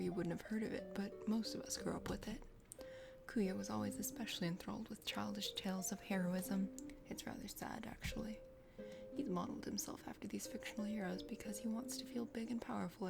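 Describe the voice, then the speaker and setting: A woman voicing a chatty, condescending dragon sister from a forest kingdom in a video game, speaking formally in a soft ASMR whisper. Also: mid-range voice, vocal fry, pitched below the performer's own voice, close to an everyday voice. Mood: disapproving. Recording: music behind the voice.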